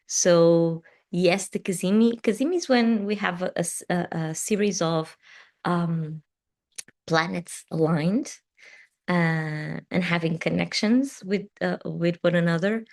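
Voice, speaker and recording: A Portuguese woman with a Portuguese accent, English not her first language, hosting a live tarot and meditation stream, talking in a gentle, soothing way that often trails off softly. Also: fairly low pitch, gravelly. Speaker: tarot reader and meditation host. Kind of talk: live-stream chat answering viewer comments.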